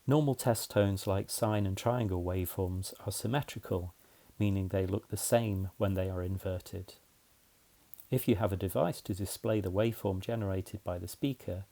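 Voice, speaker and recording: A man's voice: sits low, creaky, dark tone. A man narrating a scripted explainer in a methodical, plain-spoken way, as a tutorial presenter.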